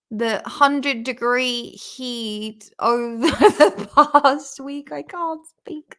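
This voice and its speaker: Young Czech woman, vlog host; crisp, bright tone, a bit high; loose, sweary, giggly study-along chat. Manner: chatty, swears freely, laughs often; sounds words out slowly and repeats them.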